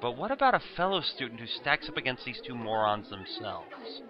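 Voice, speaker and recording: A man's voice: medium pitch, big pitch swings, a little fast, close to an everyday voice. A man playing a brainy, fussy teenage schoolboy in an audio drama, with precise, bookish phrasing. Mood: dry, scheming. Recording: dull lo-fi recording, crowd noise behind.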